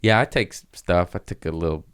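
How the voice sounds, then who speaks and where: A man's voice: sits low, brisk, smooth. A man hosting a podcast, chatting in a casual, comedic way with plenty of slang and swearing. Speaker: podcast host.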